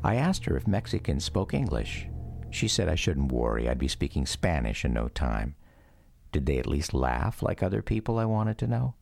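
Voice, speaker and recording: A man's voice: very deep voice, very animated, normal speed, gravelly, darkish tone, no room sound. A man narrating a scripted memoir for a radio drama, with music behind him.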